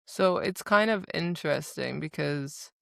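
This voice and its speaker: A woman's voice: low voice, unhurried, creaky, dry recording, studio quality. A woman co-hosting a podcast, casually recapping a book and acting out the quotes as she retells it. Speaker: podcast co-host.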